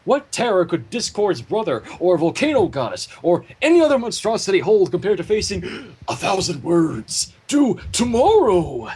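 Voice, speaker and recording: A man with a fairly high, husky voice reads aloud in a theatrical way. He drops out of the story into casual stream chat, keeping the delivery animated and varied in pitch. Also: moderate pace, hardly any pauses. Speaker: fan fiction reader and livestream host. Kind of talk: expressive story reading mixed with casual livestream banter.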